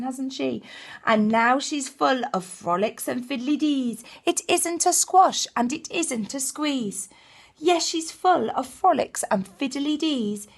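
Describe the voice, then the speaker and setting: A woman's voice: medium pitch, gravelly, husky. A woman reading a storybook aloud as a teacher would, expressively, speaking directly to young children. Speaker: primary school teacher reading to pupils.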